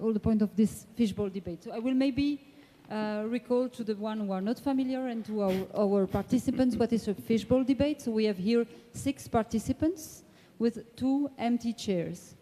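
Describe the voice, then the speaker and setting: A woman moderates a conference debate in English with a Belgian French accent. Her voice is soft-edged and mellow, and she often searches for her words. The sound carries the long reverberation of a big hall. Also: a little high, slight background noise. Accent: French-speaking Belgian. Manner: halting, searching for words in non-native English. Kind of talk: live panel introduction at a conference. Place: large indoor conference hall, amplified.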